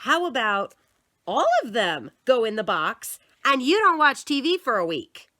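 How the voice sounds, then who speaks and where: A woman's voice: fairly high pitch, very animated, measured pace, bright, forceful tone, no echo, clean studio sound, full character voice. A woman voicing an exasperated mother who bargains firmly with her son over his toys, reading a storybook aloud. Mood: firm bargaining. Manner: firm, impatient bargaining.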